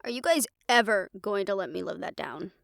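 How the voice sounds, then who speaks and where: A woman's voice: mid-range voice, animated, fairly quick, creaky, no echo, clean studio sound. A woman playing cheeky young women from a troublemaking friend group in an audio drama, flippant and defensive as they protest. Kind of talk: scripted audio roleplay drama acting.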